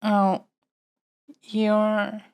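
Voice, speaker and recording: A woman's voice: mid-range voice, completely flat delivery, darkish tone, clean tone. A woman playing an earnest, flustered young princess in an intimate audio roleplay, stammering and hesitating as she pleads. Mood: hurt, resigned. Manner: halting, hesitant, stammering delivery. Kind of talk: audio roleplay acting, intimate monologue to the listener.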